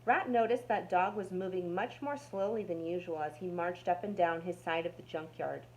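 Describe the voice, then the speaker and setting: A woman's voice: fairly low pitch, moderate pace, darkish tone, slight background noise, rough recording. A woman narrating a children's storybook aloud as a storytime reader.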